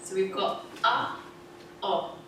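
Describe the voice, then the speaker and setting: A woman's voice: medium pitch, slow pace, a bit bright, distant mic. A woman with a standard southern British accent hosting a pronunciation lesson video, explaining with cheerful laughs. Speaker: English teacher, video host. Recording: echoey video played in a room, heard from afar with noise.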